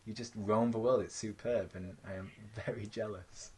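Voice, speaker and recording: Lively Yorkshire man hosting. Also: on the low side, normal speed. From England. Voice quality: lively, playful storyteller. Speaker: podcast host. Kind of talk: casual interview with a read-out intro.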